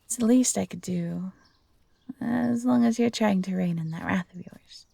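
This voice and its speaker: A woman voicing an earnest, gentle but defiant young fox-hybrid companion in an audio roleplay, speaking pleadingly and hesitantly. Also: medium pitch, normal speed, gravelly, breathy edge, dry recording.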